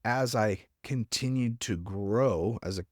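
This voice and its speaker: A man's voice: lowish voice, slow pace, no room sound, clean studio sound. A man hosting a casual podcast, rambling off on tangents and filling his talk with "like" and "you know". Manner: rambling, tangents, filler-heavy. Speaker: podcast host.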